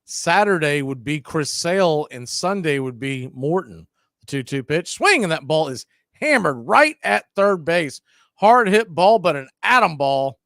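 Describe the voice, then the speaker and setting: A man's voice: sing-song, unhurried, fairly bright tone, no echo, clean studio sound. Opinionated male baseball play-by-play caller. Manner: opinionated, blunt asides between pitches. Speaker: play-by-play radio announcer. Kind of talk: fan-stream baseball play-by-play commentary.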